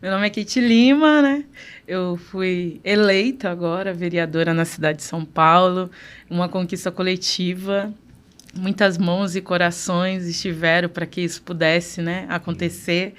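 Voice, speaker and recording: Brief: woman in her 30s from Recife, lowish voice, lively interview guest. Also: moderate pace, smooth. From Recife, Pernambuco, Brazil. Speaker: guest, city councillor. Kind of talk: casual interview.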